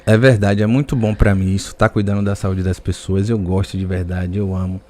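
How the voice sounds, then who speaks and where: A man with a fairly low voice and a Bahian Brazilian accent talks as a guest on a podcast. He tells personal stories in a conversational way. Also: level tone, a little fast, warm tone. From Salvador area, Bahia, Brazil.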